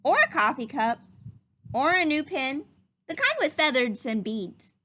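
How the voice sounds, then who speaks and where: A woman's voice: high voice, sing-song, measured pace, pushed and bright, full character voice. A woman voicing earnest, wistful young schoolchildren in a storybook, with a simple singsong delivery. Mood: earnest, helpful.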